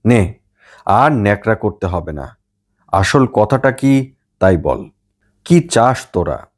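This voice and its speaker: A man's voice: fairly low pitch, sing-song, slow, heavily acted. Man voicing a stern, grumbling old Bengali grandmother. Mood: suspicious. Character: scolding, suspicious old grandmother, gruffly fond.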